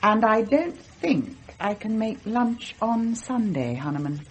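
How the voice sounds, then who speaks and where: An actress playing a sensual, teasing upper-class daughter of the house in a radio drama, languid and imperious, with an English accent. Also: female voice, a little high, big pitch swings, slow pace, slight background noise.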